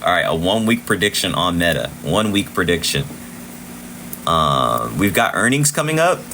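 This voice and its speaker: A man's voice: deep voice, very animated, moderate pace, slight background noise, poor audio. A man hosting a live trading stream with casual chart commentary, chatty and repetitive, thanking viewers as he goes, his voice wearing out. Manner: chatty, shouting out viewers, repeats himself. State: losing his voice. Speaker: livestream trading host.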